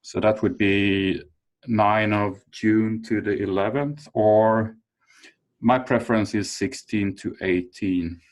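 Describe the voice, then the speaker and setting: A man's voice: lowish voice, fairly even intonation, slow. A man chairing an informal work conference call, hesitantly thinking aloud. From Sweden. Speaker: meeting chair.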